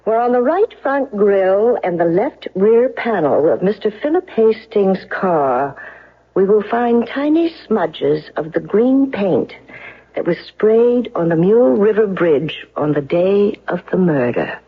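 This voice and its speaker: A woman's voice: mid-range voice, expressive, a little slow, slightly rough. A woman playing a commanding, relentless defense lawyer in a 1970s radio courtroom drama, speaking in an emphatic, accusatory way. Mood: confident, pressing. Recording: dull, lo-fi old radio recording.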